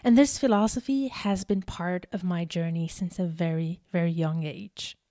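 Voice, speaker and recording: Warm-voiced woman telling her story. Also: lowish voice, steady tone, no echo, studio quality. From Algeria. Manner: earnest, deliberate, with emphatic repetitions. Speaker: speaker giving a talk. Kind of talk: prepared personal storytelling speech.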